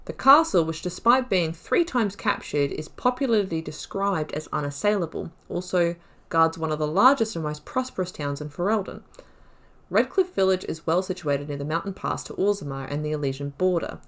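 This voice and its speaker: A woman's voice: on the low side, animated, moderate pace, a bit bright. A woman hosting a gaming video, reading lore aloud and adding informal, self-correcting asides. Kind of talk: reading game lore aloud with casual commentary.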